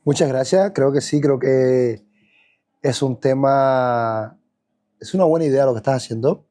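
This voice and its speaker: A man from Cuba with a Cuban Spanish accent, a dancer recounting his childhood in a relaxed, reflective podcast interview, with frequent fillers and restarts. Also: medium pitch, unhurried. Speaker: guest dancer. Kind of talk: podcast interview, personal storytelling.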